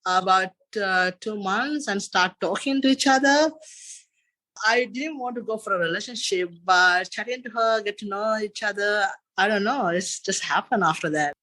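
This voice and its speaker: Man, Sri Lankan accent, thirties, bright tone, rambling casual storytelling, podcast guest. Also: sits high, moderate pace, poor audio. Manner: rambling, repeats "and after that" and "you know". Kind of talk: casual interview chat.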